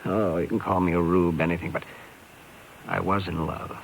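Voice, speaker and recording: Haunted carny, low American voice, halting and anguished, vintage radio drama with faint hiss. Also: male voice, flat delivery, vocal fry, rough recording. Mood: anguished confession. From Illinois, United States. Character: haunted, desperate, guilt-ridden carnival performer man. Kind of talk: 1950s radio drama acting. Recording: hissy old radio recording.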